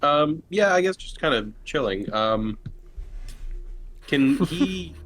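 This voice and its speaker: A man chatting casually as a tabletop game player, describing his character's actions in a tentative, halting way. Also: a little high, lively intonation, a bit bright, poor audio. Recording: music underneath. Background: music.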